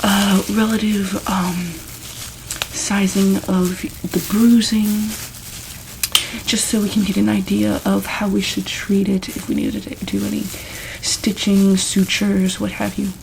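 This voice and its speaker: Female, soft ASMR medic roleplay, unhurried and even-toned, gentle and hesitant, noticeable background noise. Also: medium pitch. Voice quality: soft, breathy, often near whisper. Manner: hesitant, gentle, pausing often mid-sentence. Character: calm, attentive female medic examining a monster patient. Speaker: ASMR performer playing a monster hospital medic.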